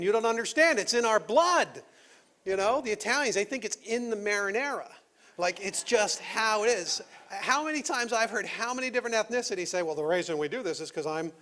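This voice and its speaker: A pastor with a notably high voice delivers a Sunday sermon in a church. He talks in a relaxed, conversational way, full of rhetorical questions, and lowers his voice to stress the key points. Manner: conversational preaching with rhetorical questions, dropping to quiet emphasis. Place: church sanctuary.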